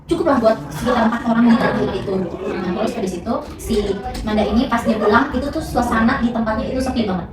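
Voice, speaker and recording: A young woman tells a story in a quick, loose, chatty way, full of fillers and restarts. Her voice sits a touch high. She sounds far from the mic in an echoey room, with a crowd murmuring behind her. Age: young adult. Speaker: student podcast storyteller. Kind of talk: casual storytelling chat.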